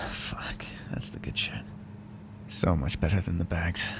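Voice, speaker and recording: A man's voice: deep voice, fairly even intonation, measured pace, creaky, in a whisper. A man voicing a sarcastic, foul-mouthed vampire who is grudgingly helpful and hungry, speaking intimately to the listener in an audio roleplay. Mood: hungry, restrained. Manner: sarcastic, wry, exasperated, conversational. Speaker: roleplay voice actor.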